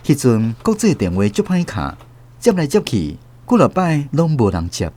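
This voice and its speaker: An actor playing a wistful older emigrant recalling lost love, narrating a radio drama reflectively with a Taiwanese accent. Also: male voice, medium pitch, wildly swooping pitch, normal speed, mellow.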